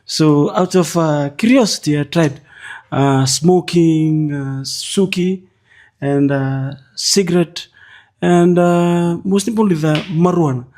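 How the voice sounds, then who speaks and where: Hesitant young Fijian man, mellow voice. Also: fairly high pitch. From Fiji. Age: 20s. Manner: hesitant, many pauses and uhs. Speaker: guest. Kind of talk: personal story in a podcast interview.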